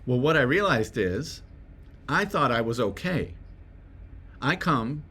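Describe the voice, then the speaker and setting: A man's voice: mid-range voice, animated, takes it slowly, sharp tone, slightly rough. A man giving a conversational self-help talk to the viewer, often asking rhetorical questions and checking in with "right?". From Swedish family roots. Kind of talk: direct-to-camera motivational talk.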